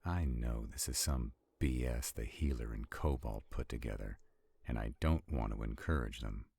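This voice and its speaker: A man playing an arrogant, protective centuries-old vampire in a roleplay audio drama, haughty and brooding, with a gravelly, husky voice. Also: extremely low pitch, moderate pace, warm tone, no echo, heavily acted. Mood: uneasy, wary.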